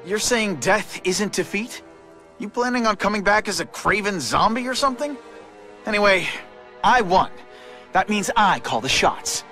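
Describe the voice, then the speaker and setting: A young superhero in a video game. The voice sits high and swings widely in pitch, quippy and indignant with a sarcastic edge. Music plays behind it. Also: male voice, expressive, moderate pace, bright, forceful tone. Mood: sardonic, firm.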